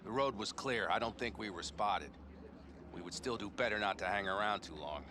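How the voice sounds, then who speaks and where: Calm, loyal nomad guy, low voice , male actor, crowd behind. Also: normal speed, lightly acted. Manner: calm, reassuring.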